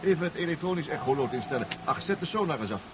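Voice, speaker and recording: Actor as confident professor, animated, muffled noisy recording. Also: male voice, a little high, racing, close to an everyday voice. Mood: assured. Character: confident, reassuring male professor and submarine inventor. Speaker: actor in a radio drama. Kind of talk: 1970s radio drama acting. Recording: muffled, noisy old 1970s radio recording.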